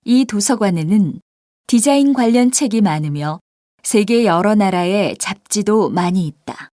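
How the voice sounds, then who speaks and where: A woman voicing scripted dialogues and example sentences for a language textbook, with clear, expressive line reading. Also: mid-range voice, measured pace, dry recording. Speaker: textbook audio voice actor.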